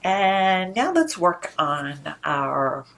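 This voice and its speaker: A woman hosting a children's storytime, reading aloud in an expressive, deliberate storytelling manner. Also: on the low side, vocal fry. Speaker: librarian storytime host. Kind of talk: expressive read-aloud of rhyming children's picture book.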